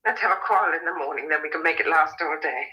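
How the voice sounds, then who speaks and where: An actress playing a sensible, anxious young British farmer's daughter in a radio drama, brisk and earnest. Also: female voice, low-pitched, sharp tone, poor audio. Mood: brisk, exasperated.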